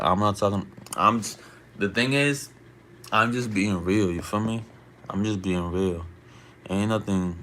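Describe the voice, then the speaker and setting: A man's voice: sits low, a bit bright, a little hiss or hum. A man of Dominican family, born in the US, chatting casually on a late-night live stream. He reads out his chat in a slangy, laid-back way and keeps asking "you feel me?".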